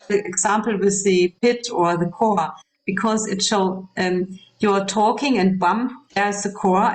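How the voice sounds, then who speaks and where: A woman from Germany speaking English with a German accent, a lecturer explaining ideas in a discussion, pausing often with ums and restarts. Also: mid-range voice, low-quality recording. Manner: hesitant, many ums and restarts. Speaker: guest lecturer. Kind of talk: panel discussion.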